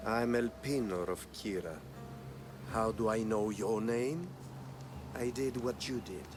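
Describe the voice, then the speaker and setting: A sinister, wealthy video game villain. He speaks in an unruffled, condescending, measured way, with clear music underneath. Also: fairly low pitch. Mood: calm, calculating. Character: smooth, calculating, sinister wealthy male schemer. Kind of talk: dramatic video game acting.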